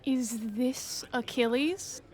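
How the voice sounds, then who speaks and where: Young woman doing bold defiant trojan warrior, high voice, slow shakespeare verse, audio drama. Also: darkish tone. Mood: wry, challenging. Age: young adult. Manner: declamatory, measured.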